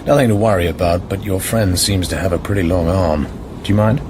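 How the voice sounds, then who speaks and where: A cool, guarded male spy, played by an actor in a radio drama. His voice sits fairly low and his delivery is clipped and controlled. A clear rumble of train noise runs behind him. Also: a little fast, natural voice. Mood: guarded, terse. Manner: terse, controlled. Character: cool, guarded male secret agent in disguise.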